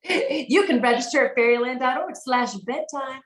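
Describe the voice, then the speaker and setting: A woman's voice: high voice, moderate pace. A woman speaking conversationally as an interview guest, representing her organization, with a roomy sound around her. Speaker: interview guest, executive director.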